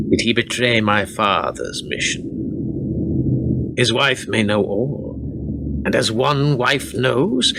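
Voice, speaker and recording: Guy playing vengeful young lord in a radio play, really slow and theatrical, creaky voice, hissy noisy recording. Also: mid-range voice, heavily acted. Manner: slow, declamatory, brooding with dramatic pauses. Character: vengeful young medieval lord, cold, bitter, deceitful.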